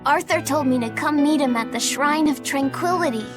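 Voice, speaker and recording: Actress as little brother, very high young voice, gentle, earnest, fantasy game, music behind. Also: female voice, moderate pace, close to an everyday voice. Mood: earnest, eager. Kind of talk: dubbed fantasy game acting.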